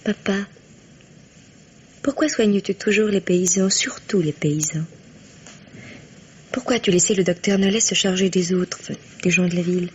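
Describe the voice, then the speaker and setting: An actress in an old radio play, playing an affectionate, inquisitive young woman, the doctor's daughter, with a husky, breathy voice and an earnest manner. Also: lowish voice, moderate pace, long pauses, darkish tone, slight background noise, natural voice. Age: young adult. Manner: earnest, questioning. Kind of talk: old French radio drama acting. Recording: dull, lo-fi old radio recording.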